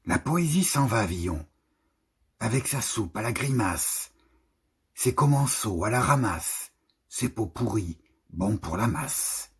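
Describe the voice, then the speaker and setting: A man reciting poetry in deliberate, measured short phrases with pauses between them. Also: on the low side, expressive, slightly rough. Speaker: poetry reader.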